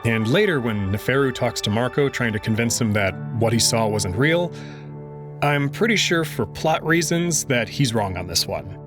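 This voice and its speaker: Expressive male narrator over music. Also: medium pitch, moderate pace. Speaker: narrator and commentator. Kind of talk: expressive reading of a visual novel with commentary. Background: music under the voice.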